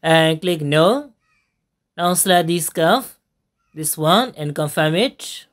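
Woman narrating a software tutorial. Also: deep voice, animated. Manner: step-by-step instructions with frequent fillers and pauses. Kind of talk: screen-recorded software tutorial voice-over.

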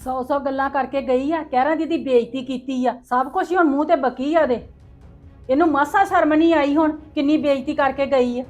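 A woman's voice: high voice, fairly even intonation, fairly quick, darkish tone, muffled recording, faint music behind, lightly acted. An actress playing a worried middle-aged mother who lectures her daughter in a family drama, speaking in a reproachful way. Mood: scolding.

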